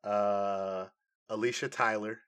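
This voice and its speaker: A man co-hosting a casual pop culture podcast, talking in a jokey, excitable way. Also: lowish voice, takes it slowly, clean tone. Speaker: podcast co-host.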